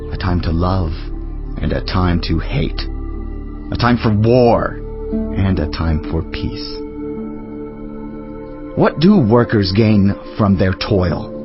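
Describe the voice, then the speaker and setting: Male scripture narrator, lowish voice, grave and deliberate phrasing for an audio Bible, slightly dull recorded sound. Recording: slightly dull, band-limited audio with music. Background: clearly audible background music.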